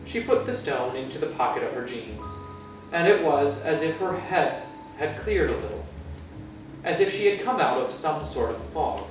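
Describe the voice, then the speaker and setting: A man reading a children's story aloud as narrator, with measured, expressive phrasing. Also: on the high side. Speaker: storytime reader. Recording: echoey room, far from mic, music.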